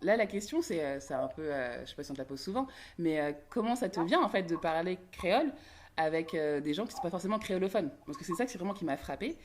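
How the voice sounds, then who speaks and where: Lower-voiced woman hosting a chat. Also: animated, fast talker, slight background noise. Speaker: podcast host. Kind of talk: casual interview.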